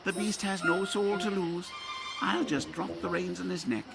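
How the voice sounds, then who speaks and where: A man's voice: high-pitched, fairly even intonation, moderate pace, creaky, loud music behind the voice. A man playing a pious, easily tempted parish priest, earnest and self-reproaching, in an audio drama. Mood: evasive.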